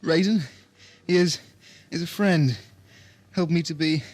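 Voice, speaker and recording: A man's voice: fairly high pitch, measured pace, a little mellow, dry recording. A man playing a drugged, confused and fanatically devoted commissioner in an audio drama, agitated and fragmented in delivery. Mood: dazed.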